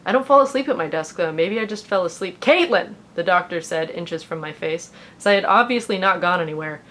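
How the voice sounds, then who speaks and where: Low-pitched woman reading a story aloud. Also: fairly quick, a bit bright. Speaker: fan fiction reader on a comedy show. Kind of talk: expressive storytelling read-aloud with casual asides.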